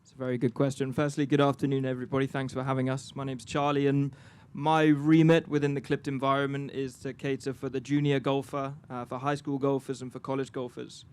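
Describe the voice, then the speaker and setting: Male panelist, flat and formal, clean resonant tone. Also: mid-range voice, clear, resonant tone. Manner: measured, formal. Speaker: panelist, business development manager. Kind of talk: conference panel talk.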